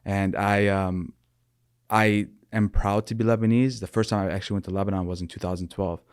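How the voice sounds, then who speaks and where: A young man from Michigan, talking earnestly and thoughtfully as a podcast guest, often pausing and restarting his sentences. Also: fairly low pitch, fairly even intonation, clear, resonant tone, no echo, studio quality. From Michigan, USA. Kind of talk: reflective interview talk.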